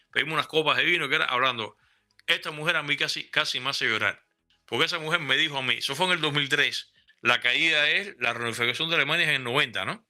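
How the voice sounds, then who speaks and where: A man's voice: a little high, bright, forceful tone. An older Cuban man with a Cuban accent, telling a rambling personal story in casual conversation, often restarting his sentences. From Cuba. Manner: rambling anecdotes with many restarts. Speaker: guest.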